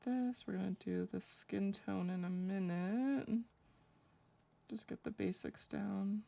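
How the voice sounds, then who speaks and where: A young woman with a low voice chatting casually and rambling with lots of fillers while she draws on a live stream. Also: takes it slowly, creaky, dark tone. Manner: rambling, filler-heavy, laughs easily. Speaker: streamer drawing fanart.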